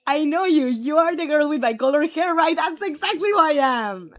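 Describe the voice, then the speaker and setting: A woman's voice: sits high, expressive, moderate pace, a bit bright. A young woman from Mexico with a Mexican Spanish accent, chatting casually on a live stream and answering viewers' comments. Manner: friendly, answers viewers' questions aloud. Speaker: livestreamer.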